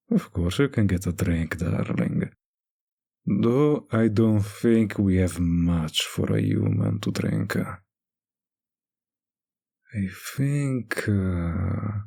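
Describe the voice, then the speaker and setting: An ancient male vampire tending bar, played by a man in an intimate audio roleplay. His voice is low and husky, and he drawls extremely slowly. He teases the listener with a smug, predatory charm. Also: darkish tone, full character voice. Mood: casual, amused. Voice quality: breathy, gravelly low voice. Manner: slow, teasing drawl with long pauses. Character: centuries-old male vampire bartender, teasing, predatory, smugly charming.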